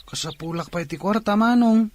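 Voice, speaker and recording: An actor with an Ilocano accent playing a worried, needling schoolboy younger brother from a poor family in a radio drama, plaintive and full of questions. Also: male voice, high voice, a little fast, a little mellow, slight background noise, lightly acted. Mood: worried, pleading. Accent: Filipino, Ilocano.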